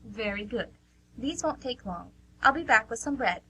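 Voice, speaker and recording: A woman voicing a polite, brisk restaurant waitress in an amateur radio play, with courteous service patter. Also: a little high, lively intonation, sharp tone. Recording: noisy amateur radio recording with hum.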